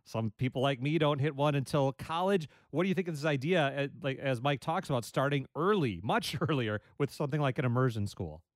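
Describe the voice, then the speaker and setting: A man's voice: medium pitch, expressive, brisk, few pauses, no echo. A man hosting a call-in radio show, talking in a friendly, conversational way. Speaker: radio talk show host.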